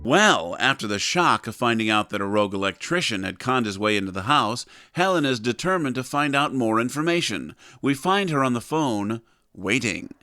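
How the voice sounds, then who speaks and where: A man's voice: medium pitch, sing-song, moderate pace, sharp tone, no echo, studio quality, faint music behind. A man narrating a domestic comedy audio drama in an arch, scripted storytelling style.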